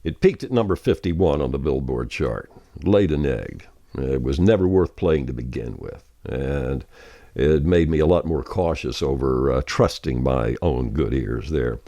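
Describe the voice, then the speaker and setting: Very deep, mellow older man. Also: animated, measured pace, no echo, clean studio sound. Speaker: veteran radio programmer, host. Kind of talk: explanatory monologue with anecdotes.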